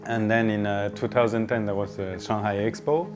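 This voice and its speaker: A middle-aged man from northern France with a French accent, a chef speaking informally in an interview in a halting, explanatory way with pauses mid-phrase. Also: on the low side, level tone, music in the background.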